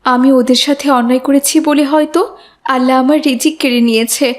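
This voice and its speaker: A woman's voice: high-pitched, fairly even intonation, normal speed, natural voice. A woman voicing a hot-tempered, spiteful village neighbour woman in a moral cartoon. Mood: remorseful. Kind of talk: children's moral cartoon dialogue.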